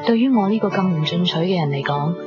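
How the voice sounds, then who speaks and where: Actress playing sincere romantic hk cantonese girl in her 20s, gentle, old radio drama, kinda muffled, music clearly behind. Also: on the low side, expressive, fast talker, close to an everyday voice. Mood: wistful, reflective. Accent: Hong Kong Cantonese. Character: earnest, trusting, romantic young shop assistant woman. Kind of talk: 1990s radio drama acting with inner monologue.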